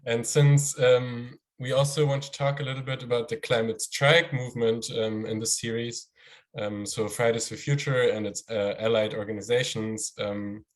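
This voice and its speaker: A man introducing and hosting an interview series, speaking in a measured, friendly way with some fillers. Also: mid-range voice.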